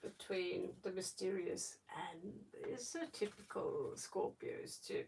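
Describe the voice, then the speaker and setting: A woman talks slowly through a casual tarot livestream, with plenty of fry in her voice. She rambles and thinks aloud as she reads. The microphone sounds some way off from her. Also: lowish voice, noisy background. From Norway. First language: Norwegian. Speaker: tarot reader, livestream host. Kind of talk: casual live tarot reading, chatting. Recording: distant microphone.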